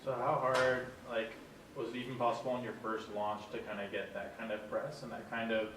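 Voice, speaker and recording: A man's voice: mid-range voice, little pitch movement, brisk. Hesitant man asking from the audience. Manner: hesitant, tentative questioning. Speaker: audience member asking a question. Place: lecture room. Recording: distant and echoey with hiss.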